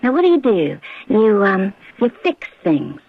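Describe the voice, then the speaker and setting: An actress playing a friendly, curious, bookish garage owner in an American radio drama, with an American accent and a teasing, probing way of talking. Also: female voice, medium pitch, big pitch swings, unhurried, slight background noise. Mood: warmly playful. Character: friendly, curious, bookish woman running a desert roadside garage. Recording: dull old radio recording.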